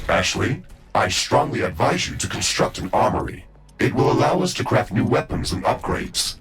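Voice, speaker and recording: An actor playing a stern, by-the-book AI mech suit in a video game, talking in a formal, measured, procedural manner. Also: male voice, deep voice, a bit bright. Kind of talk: scripted video game voice acting.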